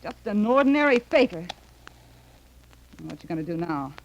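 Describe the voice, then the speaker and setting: An actress playing an impatient, scornful, hard-bitten wife in brusque 1930s radio drama style. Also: female voice, mid-range voice, big pitch swings, moderate pace, lo-fi sound. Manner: brusque, scoffing. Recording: old 1930s radio recording, slight noise.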